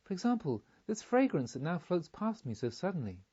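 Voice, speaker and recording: Guy reading as an earnest wondering pilgrim in a storybook, musing to himself, mellow, slightly muffled audio. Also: sits high, sing-song, a little fast, breathy edge, full character voice. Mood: puzzled, wondering. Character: wondering newly reborn male pilgrim, earnest and pensive.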